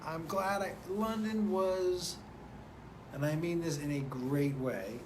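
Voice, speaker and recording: A man's voice: on the high side, slow pace, a little mellow. Loose, profane man hosting a live stream. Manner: loose, profane, rambling and playful. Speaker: host of a live stream show. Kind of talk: casual live-stream chat, reading viewer comments. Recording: noticeable background noise.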